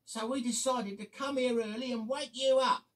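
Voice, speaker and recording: An excitable little car that can barely keep still, as a storyteller plays it. The voice is high and loud, bubbly and eager, over soft guitar. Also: normal speed, close to an everyday voice. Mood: excited.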